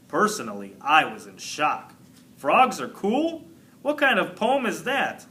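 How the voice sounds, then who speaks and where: A man narrates a children's book with dramatic emphasis. His voice is bright, unhurried and very lively in pitch, with faint noise behind it. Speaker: storybook reader. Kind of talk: animated read-aloud narration of a children's book.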